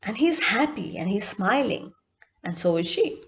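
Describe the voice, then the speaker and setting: A woman's voice: medium pitch, sing-song, measured pace, a bit bright. A woman storyteller narrating expressively and dramatically, in short emphatic phrases with repetition.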